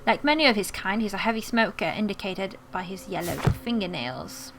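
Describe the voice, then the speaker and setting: A woman's voice: mid-range voice, normal speed. Woman gamer with mixed transatlantic accent, noisy recording. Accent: mixed American and British. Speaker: gaming video host. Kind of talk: casual game playthrough commentary, reading game text aloud.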